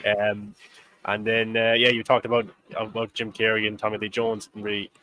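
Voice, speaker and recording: A man's voice: fairly low pitch, monotone, normal speed, pushed and bright, a little hiss or hum, rough recording. Rambling young man hosting a movie chat. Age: 20s. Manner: rambling, filler-heavy, laughs often. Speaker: host. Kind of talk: casual movie discussion.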